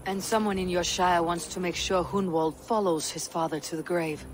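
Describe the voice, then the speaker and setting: Game role: stoic Viking warrior woman, played by an actress. Husky, grave, measured delivery with poetic weight; faint background noise. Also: lowish voice, fairly even intonation, natural voice. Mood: composed. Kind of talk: dramatic video game acting.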